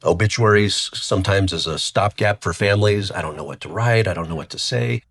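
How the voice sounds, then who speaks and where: A middle-aged man with a cold that deepens his voice, chatting as the guest of an interview. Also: brisk. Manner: relaxed and chatty, frequent restarts. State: has a cold, voice deeper than usual. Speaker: funeral home CEO, guest. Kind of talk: casual interview talk.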